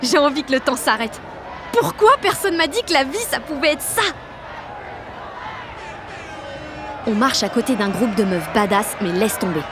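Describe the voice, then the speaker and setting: Woman playing a high-voiced, excitable teenage girl. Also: fairly quick, music in the background, natural voice. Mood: elated, smitten. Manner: gushing, slangy, swearing, self-mocking. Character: excitable, self-conscious sixteen-year-old French girl. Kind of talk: audio drama, slangy first-person inner narration and dialogue.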